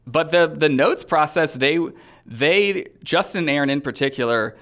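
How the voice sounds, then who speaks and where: A man's voice: on the high side, normal speed, a bit bright. A man speaking as an interviewed filmmaker, chatting casually and telling stories with bits of acted-out dialogue. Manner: chatty, acts out quoted dialogue. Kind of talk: casual animated interview storytelling.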